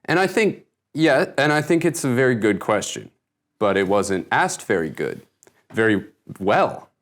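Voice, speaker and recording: Zdezorientowany mężczyzna o niższym głosie, z kamienną powagą. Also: male voice, fairly low pitch, measured pace. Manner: deadpan, evasive, muddled. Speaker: animation writer being interviewed. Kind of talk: deadpan improvised comedy interview.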